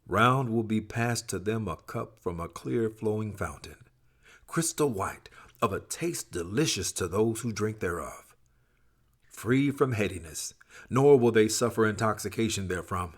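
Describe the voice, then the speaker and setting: Man, low-pitched, husky, wide swooping intonation, solemn dramatic scripture recitation. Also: lively intonation, a little slow. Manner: solemn, emphatic, dramatic phrasing. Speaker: scripture reader.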